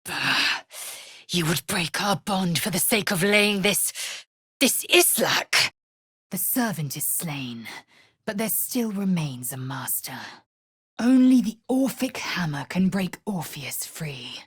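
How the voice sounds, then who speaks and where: A woman's voice: fairly low pitch, expressive, slow pace, fairly bright tone, husky, dry recording, studio quality, exaggerated voice. An actress playing a fierce, proud young alien warrior woman in a fantasy video game, speaking in an imperious, clipped, scornful way with formal phrasing. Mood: scornful, impatient, then hushed, grave.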